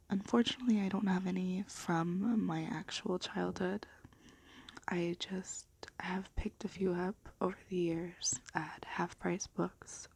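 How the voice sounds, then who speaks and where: A woman speaking in a gentle, unhurried, hushed and breathy ASMR style, soft-spoken and half whispered, as for bedtime stories. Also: fairly low pitch. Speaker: ASMR video host and storybook reader.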